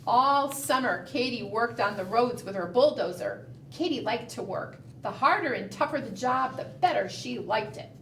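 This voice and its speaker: A woman's voice: a little high, animated, normal speed, few pauses, fairly bright tone. A woman leading a preschool storytime, reading aloud and doing fingerplay in a sing-song manner, often putting questions to young children. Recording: roomy, distant mic, faint background noise.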